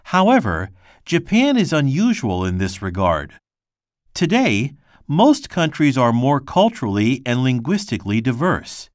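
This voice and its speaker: A man reads a textbook lesson aloud in a measured, even delivery. His pitch moves in a lively way. The sound is dry and close. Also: no echo. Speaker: textbook reader. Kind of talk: scripted reading.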